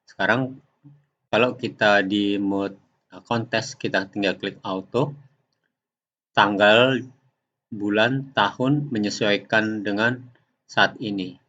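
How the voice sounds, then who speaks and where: A man giving an unscripted software tutorial, explaining step by step in a halting way. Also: lowish voice, fairly even intonation. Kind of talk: unscripted screen-recording tutorial. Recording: dull lo-fi home recording.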